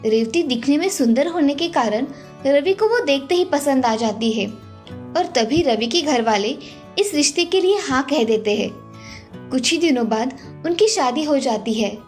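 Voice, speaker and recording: Female storyteller for animated fairytales, high register, scripted delivery, light music bed. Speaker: narrator. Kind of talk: scripted children's story narration.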